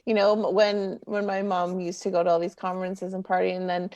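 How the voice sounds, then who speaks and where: A woman's voice: fairly low pitch, flat delivery, a little fast, darkish tone, highs cut off. Giggly young woman on a video call. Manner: giggly, lots of fillers. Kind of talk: casual group video chat.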